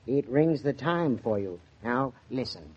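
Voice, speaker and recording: A man's voice: medium pitch, expressive, unhurried, a little mellow, husky. An actor playing an eager, hesitant shop salesman with an American accent and polite sales patter in a 1940s radio drama. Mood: coaxing. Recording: dull lo-fi 1940s radio recording.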